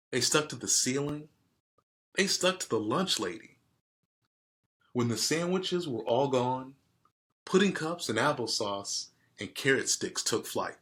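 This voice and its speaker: A man reading a storybook aloud and speaking directly to his listeners in a deliberate way. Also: mid-range voice, a bit bright. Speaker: read-aloud host. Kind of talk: storybook read-aloud with casual asides to listeners.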